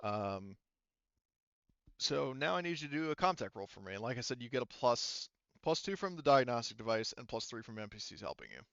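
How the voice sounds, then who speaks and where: Clear-voiced man running a tabletop game. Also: medium pitch, very animated, fairly bright tone, dry recording, lo-fi sound. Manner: halting, informal. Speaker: game master. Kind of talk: casual improvised narration of a tabletop game.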